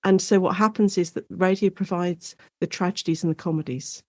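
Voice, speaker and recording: A British woman speaking as an expert guest in a conversational interview, explaining history in an informal, animated storytelling way. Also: lowish voice, brisk, no echo, clean studio sound. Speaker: guest expert, lecturer and author on Shakespeare in radio.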